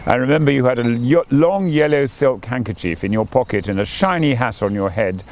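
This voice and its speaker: A man's voice: medium pitch, fairly quick, a little mellow, a little hiss or hum, lightly acted. A man reading the part of a fond, reminiscing old wife in a storybook, tender and nostalgic.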